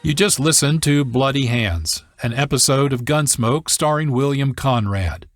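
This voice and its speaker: A man reading scripted programme narration as a radio host, measured and formal. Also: medium pitch, faint music behind.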